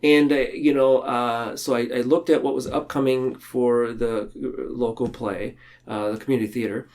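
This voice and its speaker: A man speaking casually and reflectively as a podcast guest, telling things in a halting, earnest way. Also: mid-range voice, level tone, vocal fry, darkish tone.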